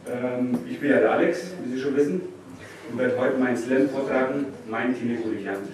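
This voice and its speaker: Young male slam poet, mid-pitched, heard from far off in a very reverberant room. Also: fairly quick, crowd noise. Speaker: poetry slam performer. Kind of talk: live spoken-word poetry slam performance. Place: indoor small venue stage before an audience. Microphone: distant camera microphone. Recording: distant, very echoey, noisy room recording.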